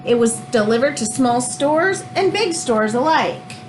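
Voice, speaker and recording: Animated woman reading aloud to children, high-pitched. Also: unhurried, music in the background, poor audio. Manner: animated, explanatory, teacherly. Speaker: teacher reading a picture book aloud. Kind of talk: expressive children's read-aloud with asides.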